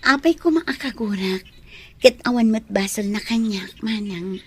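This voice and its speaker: A woman with an Ilocano accent acting a gentle, ailing lady of the house in a radio drama. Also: fairly high pitch, measured pace, breathy edge. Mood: tender.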